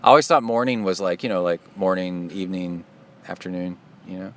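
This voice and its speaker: A man's voice: deep voice, steady tone, fairly quick, a bit bright, slight background noise. A middle-aged man hosting a podcast outdoors in a park, talking in a loose, chatty way with jokey asides. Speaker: podcast host and triathlon coach. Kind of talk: casual rambling podcast monologue.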